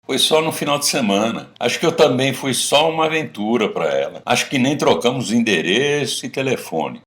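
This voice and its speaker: A man's voice: medium pitch, lively intonation, normal speed, a bit bright, natural voice. A man with a Brazilian accent playing a middle-aged guy telling old confessions to drinking buddies in a casual radio drama. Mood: reminiscing. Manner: colloquial, storytelling. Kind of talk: radio drama acting, casual bar chat.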